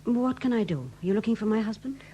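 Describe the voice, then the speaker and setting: An actress plays a poised police wife in an old radio drama. She is a woman with a soft dark tone and very varied intonation, brisk and teasing in manner. The recording has slight noise. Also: fairly high pitch, expressive, highs cut off, natural voice. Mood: polite concern. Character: poised, gently teasing wife of English detective. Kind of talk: 1970s radio drama acting. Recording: hissy 1970s radio broadcast recording.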